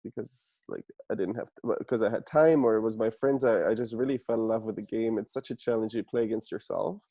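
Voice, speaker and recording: A man's voice: lowish voice, a little fast, mellow, dry recording. A man in his thirties from Germany with a German accent, answering interview questions in an earnest, reflective way with frequent restarts. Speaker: guest entrepreneur.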